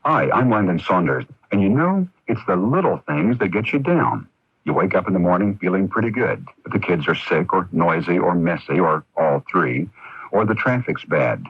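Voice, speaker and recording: Very deep, husky American man, folksy. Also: very animated, moderate pace, no room sound. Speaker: presenter of a radio advice spot. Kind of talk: conversational scripted radio monologue. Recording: dull, dry AM radio tape.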